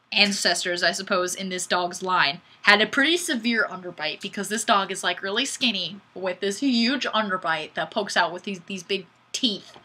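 A woman's voice: mid-range voice, slightly rough. A woman in her twenties hosting a casual webcam livestream, chatting goofily and reading out viewer comments. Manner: bubbly and goofy, bursts of squealing excitement. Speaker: livestream host. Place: small indoor room, plain wall. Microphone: webcam mic.

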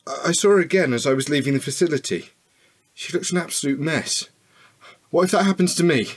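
A man's voice: on the high side, fairly quick, slightly rough. A man playing a devoted, protective husband in a radio drama, speaking naturally with earnest tenderness. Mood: anxious.

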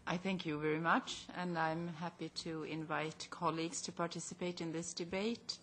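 A woman's voice: on the low side, fairly even intonation, moderate pace, fairly bright tone, slight background noise. A woman chairing a parliamentary committee hearing, passing the floor with brief formal courtesies into a desk microphone. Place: large parliamentary committee room.

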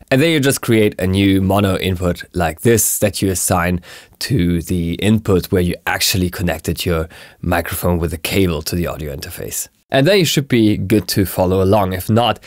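Guy explaining music software, deepish voice, really dry close sound, chatty tutorial style. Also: dry recording. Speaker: music production tutorial presenter. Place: small home studio, indoors.

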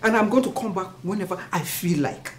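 Actress as a proud, wounded mother, swinging pitch. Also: lively intonation, brisk, a little hiss or hum, close to an everyday voice. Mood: angry, defiant. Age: middle-aged. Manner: emphatic, declamatory. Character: wounded, proud elder mother scolding her son. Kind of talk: melodramatic film acting. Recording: music under the dialogue.

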